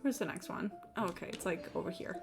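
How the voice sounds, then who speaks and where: A woman's voice: lowish voice, fast. A woman live-streaming a game with casual, joking, sweary and exclamatory commentary. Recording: game music behind the voice.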